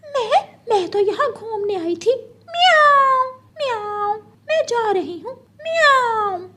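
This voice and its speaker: Woman as greedy female cartoon cat, very high pitch, meowing, sly, falsely innocent. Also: sing-song, takes it slowly, heavy background noise, heavily acted. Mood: wheedling, feigning innocence. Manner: meows between phrases. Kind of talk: children's cartoon voice acting.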